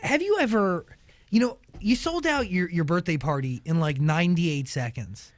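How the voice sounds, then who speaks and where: A male radio host talks with a high, bouncing voice that swings widely in pitch. He keeps things playful and slangy, throwing in quick joking asides. The sound is dry and close to the mic. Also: lively intonation, no echo. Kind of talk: playful interview hosting. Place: radio studio. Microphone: studio microphone.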